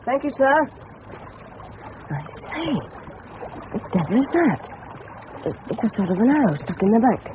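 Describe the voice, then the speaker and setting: Well-spoken anxious English schoolboy, radio drama, dull noisy old broadcast. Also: male voice, extremely high pitch, sing-song, normal speed, long pauses. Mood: earnest. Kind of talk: 1970s radio drama acting.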